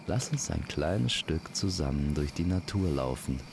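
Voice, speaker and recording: A man telling a scripted fantasy story as an immersive campfire narrator, speaking gently and poetically to the listener. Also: very deep voice, expressive, vocal fry, noisy background. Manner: slow, gentle, poetic delivery. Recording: constant night insect and nature ambience. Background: crickets and forest night sounds.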